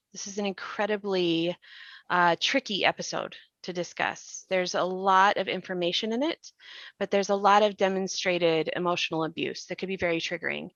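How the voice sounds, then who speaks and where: A woman's voice: medium pitch, fairly bright tone, no echo, dull audio. A woman hosting a reflective podcast discussion, explaining things in a thoughtful way. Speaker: podcast host and actor.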